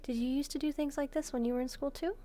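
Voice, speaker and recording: Woman as a mellow, gentle demon maid. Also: sits high, steady tone, fast, voice pushed up, lightly acted. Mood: curious. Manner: gentle, musing, softly teasing. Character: gentle, devoted young female demon maid. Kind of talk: soft-spoken roleplay addressed to the listener. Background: background music.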